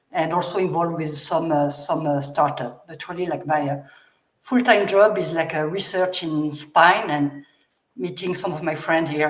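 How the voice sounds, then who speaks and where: A woman with a strong French accent, a researcher, chatting casually with many "like" fillers on a video call. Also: fairly low pitch. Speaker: biomechanics researcher, guest. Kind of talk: casual AMA chat. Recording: echoey room.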